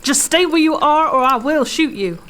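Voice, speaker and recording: A high-pitched, stern female security officer played by a woman in an audio drama, with some noise behind her. She is tense, curt and commanding. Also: animated, normal speed, fairly bright tone. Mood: alarmed, threatening. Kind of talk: fan-made audio drama acting.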